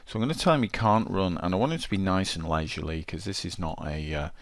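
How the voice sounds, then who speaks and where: Male tutorial presenter, very low register, dry close sound, informal and thinking aloud. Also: quick pace, no echo. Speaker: game development tutorial presenter. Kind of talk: casual screencast walkthrough, thinking aloud.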